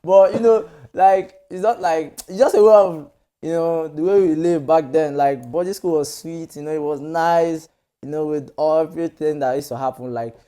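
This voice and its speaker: Guest role: young Nigerian man, mellow tone, loose chatty telling with lots of "you know". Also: a little high, normal speed. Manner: chatty, many "you know" fillers, laughs often. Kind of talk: casual storytelling interview.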